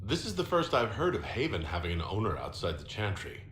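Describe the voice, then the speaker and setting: In an echoey, noisy capture, a fantasy game hero. His voice is fairly low and composed, with a plain, direct, inquisitive delivery. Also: sing-song, fairly quick, a bit bright. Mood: dry, composed. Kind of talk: video game dialogue acting. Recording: echoey game capture with clear background noise.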